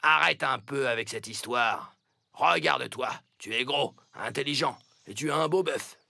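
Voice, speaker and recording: A man voicing a blunt, sensible farm dog with a gruff, matter-of-fact manner in a children's cartoon fable. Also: mid-range voice, normal speed, sharp tone, dry recording, studio quality, full character voice. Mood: firm reassurance.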